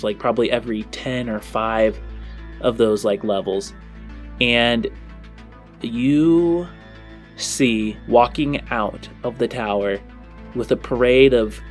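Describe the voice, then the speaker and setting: A man, improvising scene descriptions as a game master. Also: mid-range voice. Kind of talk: improvised tabletop game narration.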